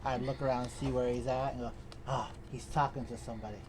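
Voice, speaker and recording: A woman's voice: a bass voice, steady tone, quick pace, darkish tone, a little hiss or hum. A woman playing a tabletop game, speaking in a giggly, playful, childlike way. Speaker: player. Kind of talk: in-character tabletop role-play.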